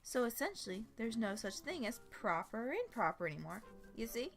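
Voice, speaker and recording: A woman's voice: on the high side, very animated, brisk, faint music behind. A woman voicing a bold, sardonic young woman with a casual, mocking manner, in a story reading. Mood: teasing, matter-of-fact. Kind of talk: animated storybook character reading.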